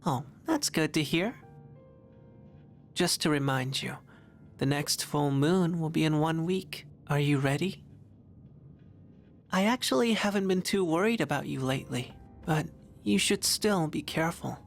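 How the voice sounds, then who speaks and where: An actress playing a calm, mysterious night visitor in a video game, gentle and unhurried. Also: female voice, deep voice, soft background music.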